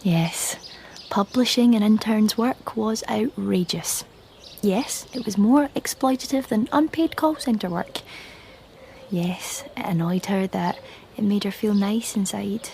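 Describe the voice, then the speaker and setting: A woman's voice: mid-range voice, animated, slow, creaky, a little mellow. Hesitant, shy young woman, noisy backdrop. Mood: wry. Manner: hesitant, stammering, self-deprecating. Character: painfully shy, jobless young woman with wry imagination. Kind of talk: naturalistic radio drama acting.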